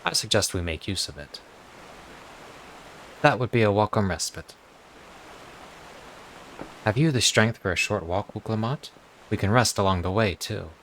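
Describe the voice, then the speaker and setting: Low-voiced man reading in monotone. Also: moderate pace, long pauses, a little hiss or hum. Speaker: streamer reading game dialogue aloud. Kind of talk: flat read-aloud of game script.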